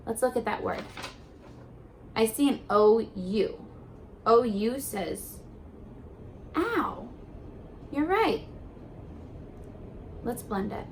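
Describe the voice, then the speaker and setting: Slow-talking woman teacher reading to kids. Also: fairly high pitch, lively intonation, a little hiss or hum, rough recording. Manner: slow, encouraging, sounding out words. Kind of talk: read-aloud lesson for young children. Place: kitchen floor at home.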